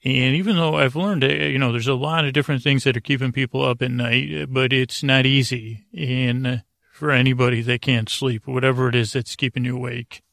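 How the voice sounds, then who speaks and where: A man hosting a bedtime podcast, meandering and halting as he rambles, often trailing off mid-thought. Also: mid-range voice, fairly even intonation, gravelly, a bit bright, no room sound. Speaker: podcast host.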